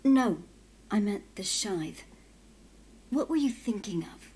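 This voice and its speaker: An actress playing a forthright, compassionate companion in a video game, with a direct, questioning manner. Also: female voice, mid-range voice, lively intonation, takes it slowly, warm tone, breathy edge. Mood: curious, direct.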